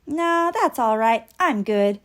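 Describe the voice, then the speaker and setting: Woman voicing a nervous, high-pitched little toy ball. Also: animated, takes it slowly. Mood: nervous, defensive. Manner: hesitant, protesting, exclamatory. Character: nervous male toy bouncy ball, childlike, evasive.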